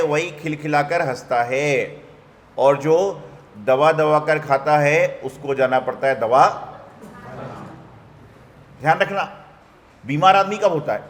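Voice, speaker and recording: A Jain monk giving a Hindi sermon, telling stories, asking rhetorical questions and mimicking what people say. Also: male voice, a little high. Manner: storytelling with rhetorical questions and mock quotes. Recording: hall reverb, faint background noise.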